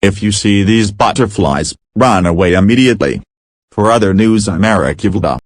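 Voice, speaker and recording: A man's voice: fairly low pitch, animated, moderate pace, no echo. A man playing a serious local TV anchor reading a scripted breaking news report.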